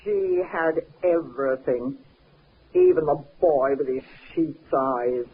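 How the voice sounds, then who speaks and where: An actress playing a bitter, jealous, scheming cousin in a 1950s radio drama, speaking with insistent, dramatic emphasis. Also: female voice, low-pitched, lively intonation, slow pace, breathy edge, exaggerated voice. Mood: bitter confession. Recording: muffled, narrow-band 1950s radio recording.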